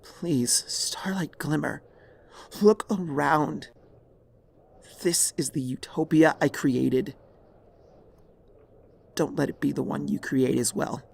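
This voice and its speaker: A man voicing a dying, regretful pony mare, a remorseful former tyrant, in a weary, halting, husky voice for a dramatic story. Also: fairly high pitch, a little mellow, voice pushed up, heavily acted. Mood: pleading. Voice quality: raspy, husky, creaky.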